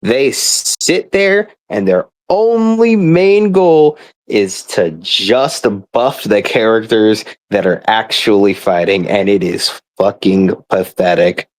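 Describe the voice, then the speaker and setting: A man's voice: fairly low pitch, expressive, slow pace, dry recording. A young man on a casual, rambling gamer rant, exasperated, blunt and sweary.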